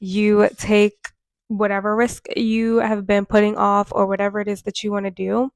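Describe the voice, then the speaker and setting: Nasal twenty-something woman with a cold, bone tired, chatty and earnest, pretty flat delivery, dry close mic. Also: medium pitch, normal speed, no room sound. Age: 20s. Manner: chatty, earnest, many fillers. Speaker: vlogger. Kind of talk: casual talk to camera. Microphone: microphone.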